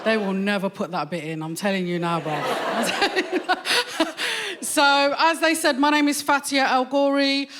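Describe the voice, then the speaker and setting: London female stand-up, high voice, slow unhurried delivery, noisy room. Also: a crowd in the background. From Hackney, London. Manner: cheeky, slangy and slow-paced. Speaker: stand-up comedian. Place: large theatre with live audience. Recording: stage mic in theatre.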